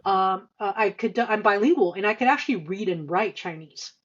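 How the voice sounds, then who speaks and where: A woman's voice: mid-range voice, lively intonation. Lady in her 50s telling career stuff on a podcast, lots of hesitating, kinda muffled lo-fi sound. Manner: halting, hesitant delivery with frequent stammered repeats and long filler runs. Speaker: podcast guest, senior finance executive. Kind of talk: conversational interview answers, career recollection.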